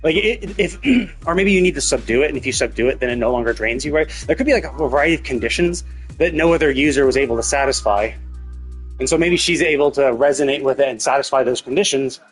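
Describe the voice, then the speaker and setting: A man talks as a game streamer with music behind him. He thinks aloud in a loose, rambling way and swears casually, at an ordinary middle pitch. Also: fast, poor audio. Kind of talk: casual live stream commentary.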